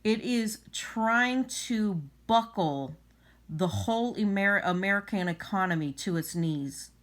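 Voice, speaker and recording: A woman vlogger giving unscripted political commentary in a halting, emphatic way. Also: mid-range voice, expressive.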